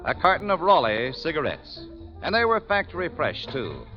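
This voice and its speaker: A man's voice: fairly high pitch, huge pitch swings, moderate pace. An American man working as a 1940s radio announcer, delivering scripted introductions and sponsor copy. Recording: dull 1940s radio broadcast, music behind.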